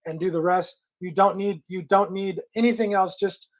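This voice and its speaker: A man's voice: high voice, steady tone, normal speed. Male co-host of a call-in livestream. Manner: restarts often, emphatic and friendly. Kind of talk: casual call-in livestream chat.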